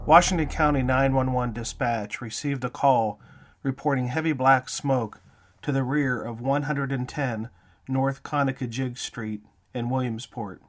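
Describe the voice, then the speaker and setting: Man, medium pitch, show host, scripted introduction and narration. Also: steady tone, measured pace, faint music behind. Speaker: host introducing an interview feature.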